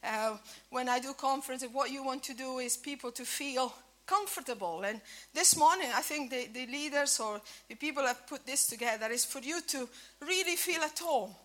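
Bright-voiced Italian woman preaching. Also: high voice. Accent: Italian with a bit of Scottish. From Italy. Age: 50s. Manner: warm, halting, many restarts and fillers. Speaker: speaker at a church breakfast. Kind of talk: informal sermon.

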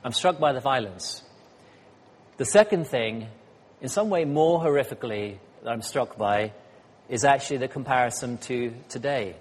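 A slightly hoarse man gives a sermon as guest speaker, chatty and self-deprecating, with frequent ums and uhs. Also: medium pitch, moderate pace. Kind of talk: sermon with light humor. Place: church hall. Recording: church hall reverb.

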